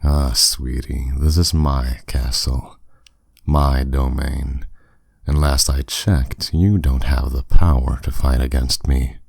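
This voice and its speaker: A man's voice: very deep voice, fairly even intonation, slow pace, gravelly, darkish tone, no echo, studio quality, full character voice. A man playing a dominant, suave demon lord in an intimate roleplay audio drama, speaking in a commanding, condescending, teasing way.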